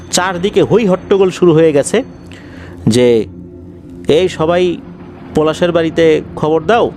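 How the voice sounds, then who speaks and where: Animated male horror storyteller, higher-pitched voice. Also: slight background noise. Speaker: horror story narrator, show host. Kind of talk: conversational storytelling of true ghost accounts.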